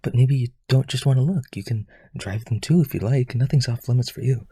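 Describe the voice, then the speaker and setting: A man playing a lonely, rich vampire aristocrat in an audio roleplay, eager to please, hesitant and pleading, with a husky, creaky voice. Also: medium pitch, a little fast, warm tone, no echo, clean studio sound. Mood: eager, coaxing.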